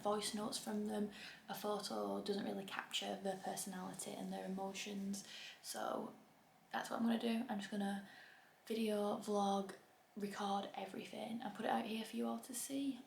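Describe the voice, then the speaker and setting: A young woman with a Bolton accent chats casually to her camera, dropping ums and little self-deprecating jokes. Her voice carries a strong gravelly fry. The room sounds echoey, with a faint hiss underneath. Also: medium pitch, little pitch movement, moderate pace. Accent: Bolton, Greater Manchester. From England. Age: 20s. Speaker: YouTuber. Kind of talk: casual talking-to-camera vlog.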